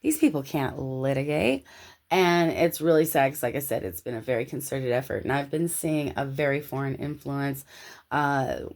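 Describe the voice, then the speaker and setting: An American woman from Los Angeles talking casually and telling personal anecdotes in an animated, slangy way. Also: very deep voice, normal speed. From Los Angeles, United States.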